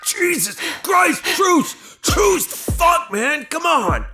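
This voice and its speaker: A rough, menacing male henchman in an audio drama. His pitch swings widely and his talk is slow and taunting, crude and colloquial. He is a bully with a coward underneath. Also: big pitch swings, loud music behind the voice, heavily acted. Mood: panicked pleading.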